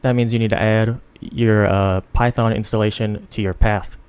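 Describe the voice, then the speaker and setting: A tired man giving a casual, unscripted software tutorial in a rambling, self-deprecating way. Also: on the low side, fairly even intonation, normal speed, smooth. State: tired, sleepy. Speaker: tutorial host.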